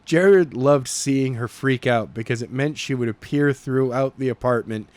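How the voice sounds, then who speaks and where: Halting male story narrator, dry sound. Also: medium pitch, darkish tone, dry recording. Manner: halting, stumbles over the text. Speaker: amateur audiobook narrator. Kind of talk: story narration read aloud.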